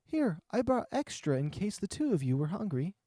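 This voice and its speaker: A man voicing a timid, kindly woman host in a visual novel, speaking gently and hesitantly. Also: sits high, very animated, moderate pace, no room sound, studio quality, pitched above the performer's own voice. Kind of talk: visual novel character reading.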